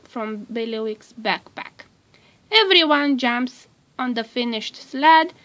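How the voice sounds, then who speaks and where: Slow, bright-voiced woman reading a storybook. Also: fairly high pitch. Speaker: storybook narrator. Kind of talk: scripted children's storybook read-aloud.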